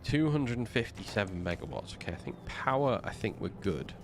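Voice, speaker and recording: A man's voice: lowish voice, animated, faint music behind. A man streaming a game, talking through his building plans, snuffly from a slight cold. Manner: slow, muttering to himself while building. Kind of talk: casual gameplay commentary.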